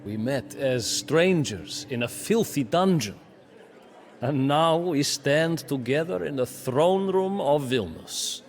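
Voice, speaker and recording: A man's voice: on the high side, animated, takes it slowly. An actor playing a proud, bitter medieval Lithuanian prince who narrates his story theatrically in a video game cutscene. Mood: resolute. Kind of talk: dramatic scripted historical narration in character.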